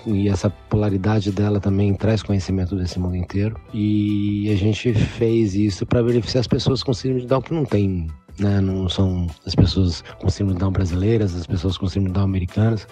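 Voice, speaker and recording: A man giving an explanatory recorded statement, pausing to restart phrases and adding tag questions. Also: fairly low pitch, mellow. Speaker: foundation representative.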